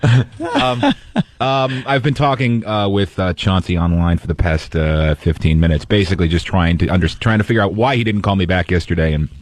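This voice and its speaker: A man reporting on air for a radio show, halting and hesitant as he relays messages. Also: sits low, no echo. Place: radio studio.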